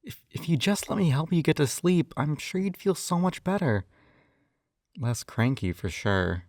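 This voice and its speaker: A man's voice: a little high, expressive, normal speed, darkish tone, no room sound, clean studio sound. A man acting in an intimate scripted roleplay as a possessive, doting captor who coaxes in a slightly condescending way. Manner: coaxing, doting, slightly condescending, often sighing. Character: possessive, coaxing male yandere captor and hypnotist. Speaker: audio roleplay performer.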